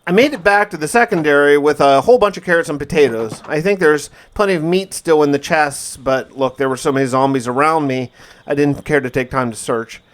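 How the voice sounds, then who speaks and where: A man narrating gameplay as a dramatic first-person survival diary, in an emphatic, theatrical way with drawn-out words. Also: a little high. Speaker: gaming video host.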